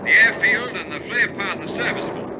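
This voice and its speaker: Bomber wireless man, male actor. High voice, obedient, cheerful, vintage radio acting, strong aircraft noise. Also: a little fast, lightly acted. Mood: pleased, reporting. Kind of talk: 1950s radio drama acting. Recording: old radio recording, loud aircraft engine noise.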